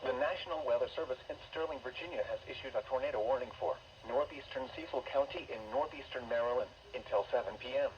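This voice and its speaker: A man's voice: medium pitch, fast, few pauses. Synthetic male weather radio announcer, muffled. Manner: stilted, synthetic, formal phrasing. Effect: synthesized voice over weather radio. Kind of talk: scripted emergency weather warning bulletin. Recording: dull, noisy weather radio broadcast.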